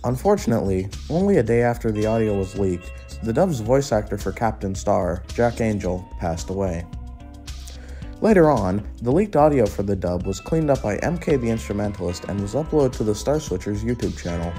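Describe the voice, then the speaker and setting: A man's voice: fairly low pitch, lively intonation, normal speed, mellow, faint music behind. A man co-narrating a scripted video essay as a guest.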